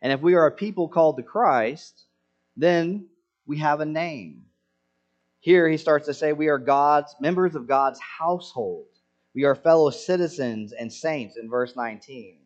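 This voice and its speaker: A man's voice: a little high, measured pace, dull audio. A man preaching a sermon in an earnest way, asking rhetorical questions to the congregation. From Senegal. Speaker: pastor.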